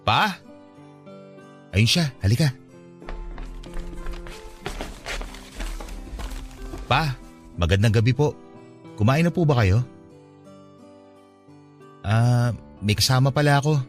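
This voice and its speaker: A man's voice: mid-range voice, animated, unhurried, long pauses, faint music behind, lightly acted. An actor playing a hurt young son who craves his father's love, earnest and pleading in a radio drama. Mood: respectful, tentative. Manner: polite and pleading, wounded.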